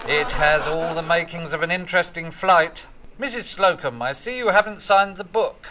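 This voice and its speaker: A man playing a stuffy, gentlemanly floorwalker in a radio sitcom, formal and authoritative. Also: fairly high pitch, normal speed. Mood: suave. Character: stuffy, officious British floorwalker, a gentlemanly captain. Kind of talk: radio play sitcom comedy acting.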